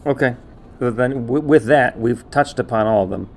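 A man's voice: mid-range voice, moderate pace, slight background noise. A man co-hosting a podcast in casual, enthusiastic chat, often repeating his phrases. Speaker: podcast co-host.

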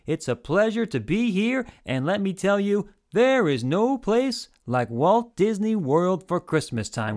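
A man's voice: on the high side, darkish tone. A man hosting an old-time radio game show, announcing like a showman and addressing listeners directly.

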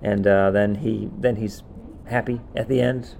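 A man's voice: on the low side, steady tone, a little slow, vocal fry, darkish tone, slight background noise. A man co-hosting a podcast, chatting casually and recapping in a rambling, offhand way. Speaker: podcast co-host.

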